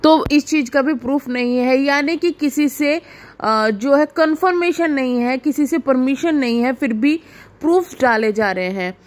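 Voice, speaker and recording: A woman's voice: high voice, unhurried. A woman hosting a reaction video, talking informally to viewers and explaining things in a gossip commentary style.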